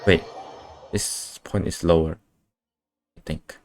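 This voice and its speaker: A man streaming a game with casual, low-key, muttered commentary and offhand swearing. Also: fairly even intonation, takes it slowly, a little mellow, faint music behind. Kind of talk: casual live playthrough commentary.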